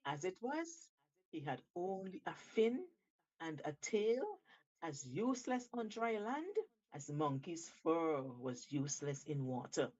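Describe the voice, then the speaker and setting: Woman radio storyteller, very animated intonation, deliberate theatrical phrasing, slightly dull lo-fi sound. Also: fairly low pitch. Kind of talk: expressive storytelling of an animal folktale.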